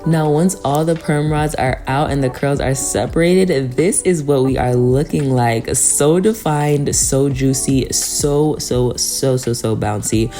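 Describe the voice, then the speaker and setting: A woman's voice: rumbling low, measured pace, few pauses, warm tone, soft background music. A woman giving a casual, chatty tutorial voice-over, speaking to viewers in an encouraging way. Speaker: hair tutorial vlogger.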